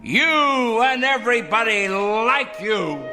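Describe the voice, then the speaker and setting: An actor playing a fanatical, self-righteous, paranoid man, ranting excitably in theatrical radio drama style. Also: extremely high pitch, takes it slowly, over loud music, full character voice. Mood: furious, accusing. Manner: ranting, excitable, muttering and crooning to a pet. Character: fanatical, paranoid, self-righteous male crank obsessed with punishing evildoers.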